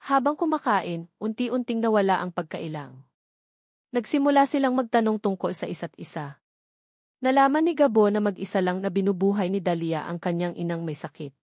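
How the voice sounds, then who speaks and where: A woman's voice: mid-range voice, moderate pace, darkish tone, dry recording. Woman narrating a love story, dry close sound.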